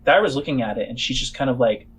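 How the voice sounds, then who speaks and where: A man running a tabletop game, improvising descriptive narration in halting, trailing phrases. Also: mid-range voice, lively intonation, a bit bright, husky, low-quality recording. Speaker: game master.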